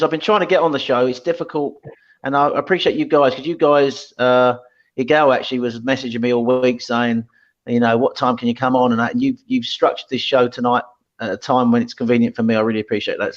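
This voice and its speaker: A man speaking casually and politely as a guest on a football fan show. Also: medium pitch. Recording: dull lo-fi call audio.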